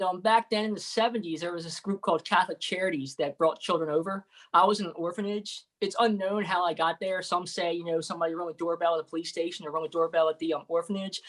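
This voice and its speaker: Fast-talking man, earnest storyteller. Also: sits high, steady tone, a bit bright, low-quality recording. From United States. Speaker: guest investor. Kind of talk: storytelling interview answer.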